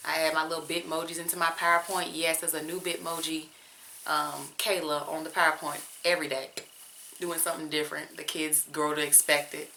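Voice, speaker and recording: A woman's voice: low-pitched, flat delivery, a little fast, vocal fry, sharp tone, slight background noise. A young woman from Georgia with a Southern accent, a teacher chatting candidly to her viewers while she detangles her hair at home. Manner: candid, chatty, talks straight to viewers with casual slang. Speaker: teacher and vlogger.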